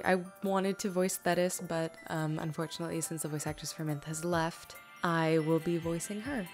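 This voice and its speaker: A woman hosting a question-and-answer video, talking informally and reading out questions from viewers. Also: on the low side. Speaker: host and fan comic-dub creator. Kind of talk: scripted-loose Q&A answers to viewers. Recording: music behind the voice. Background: background music.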